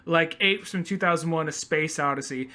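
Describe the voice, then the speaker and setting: A man's voice: a little high, normal speed, sharp tone. A young man co-hosting a podcast with casual, conversational commentary peppered with "like". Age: young adult. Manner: conversational, filler-heavy with frequent "like". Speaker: podcast co-host.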